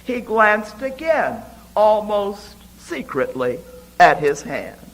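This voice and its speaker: A man giving a dramatic poetry reading, deliberate and expressive, with emphatic pauses. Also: high voice, rough recording.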